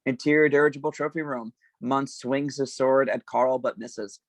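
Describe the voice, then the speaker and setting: A man's voice: medium pitch, fairly even intonation, normal speed, dry recording. A man reading stage directions as scripted narration at an informal table read.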